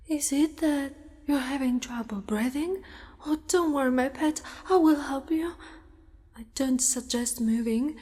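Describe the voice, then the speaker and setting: Casting: woman as doting, predatory spider girl, higher-pitched, breathy ASMR whispers, sinister coaxing. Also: a little mellow, close to an everyday voice. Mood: coaxing, possessive. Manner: slow, deliberate, sinister coaxing. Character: possessive yandere spider-monster woman, menacing yet doting predator. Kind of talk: ASMR roleplay, often whispered. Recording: long reverberant cave-like echo.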